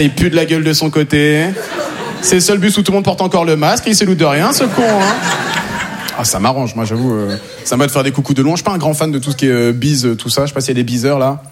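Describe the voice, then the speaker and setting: A man's voice: on the high side, lively intonation, fast talker, background noise. A male stand-up comedian telling everyday stories in casual slang, repeating phrases, before a live audience.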